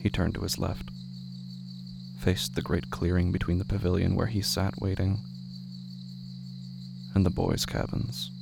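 A man with a gravelly, creaky voice narrates a scripted horror story in a hushed, deliberate way. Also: low voice, little pitch movement, background noise. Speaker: narrator of a horror audio drama.

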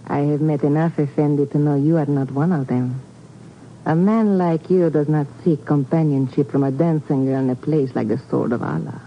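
Low sultry dancer, muffled radio. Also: female voice, moderate pace. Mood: guarded, cool. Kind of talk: 1950s radio drama acting. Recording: muffled, noisy 1950s radio recording.

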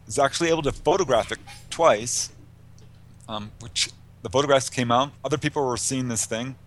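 Give a man's mid-pitched voice in a conversational interview, the guest researcher telling case stories. He speaks informally and anecdotally, with a lightly amused, chuckling manner. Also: moderate pace.

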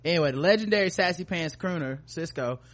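A man's voice: a little high, quick pace. A man hosting a podcast with casual comedic commentary, joking in slangy, profane language. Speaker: podcast host.

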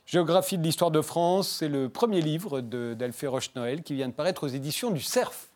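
A man hosting a talk show, asking relaxed conversational questions to his guests. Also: a little high, normal speed.

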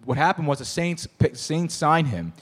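A man with a hoarse, somewhat high voice hosts a sports talk show and runs through the week's news. He gets excitable, rambles and often starts his sentences over. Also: moderate pace. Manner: excitable, rambling with many restarts. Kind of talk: casual sports chat. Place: bar venue.

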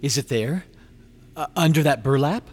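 An actor playing a curious, cautious visitor in a radio drama, polite and a little hesitant. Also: male voice, fairly high pitch, lively intonation, moderate pace, a little mellow, breathy edge.

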